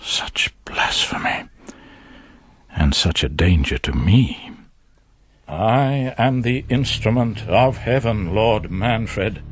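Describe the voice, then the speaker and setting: An actor playing a sanctimonious, slyly calculating priest in a radio drama, measured and pompous, with confiding asides. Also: male voice, lowish voice, expressive. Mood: stern reproof.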